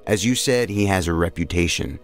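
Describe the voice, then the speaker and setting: A man playing a calm, frank federal agent in an audio drama. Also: low voice, brisk, faint music behind. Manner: frank, reassuring.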